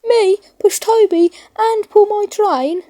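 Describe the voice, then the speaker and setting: A woman's voice: extremely high pitch, takes it slowly, warm tone, voice pushed up. A woman voicing a conceited, grumpy male steam engine in a children's storybook, pompous and huffy. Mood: indignant, huffy. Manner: pompous, boastful.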